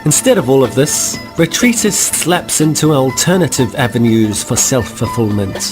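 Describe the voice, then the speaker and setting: A man's voice: mid-range voice, lightly acted. A man narrating as a wry, lecturing guidebook voice. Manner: measured, lecturing, dryly ironic. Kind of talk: scripted dry narration.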